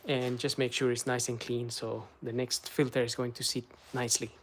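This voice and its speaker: Relaxed man walking through a car service. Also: mid-range voice, moderate pace, slight background noise. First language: Spanish. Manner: chatty, relaxed, talks to live chat viewers. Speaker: car maintenance vlogger. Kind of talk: casual how-to chat while working.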